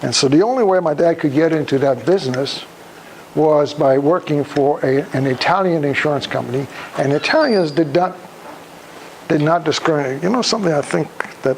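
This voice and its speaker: An elderly man from Poland giving a public talk, speaking deliberately in halting phrases and putting questions to his listeners. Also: on the high side, a little mellow. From Krakow, Poland. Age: over 75. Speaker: guest speaker, Holocaust survivor. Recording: noisy live room recording.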